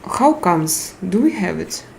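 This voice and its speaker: Slow-talking Russian-accented woman streamer. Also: on the low side, sing-song, vocal fry, a little mellow, slight background noise. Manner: slow, searching for English words with frequent hesitations. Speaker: live chat streamer. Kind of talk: casual live stream chat, reading viewer comments.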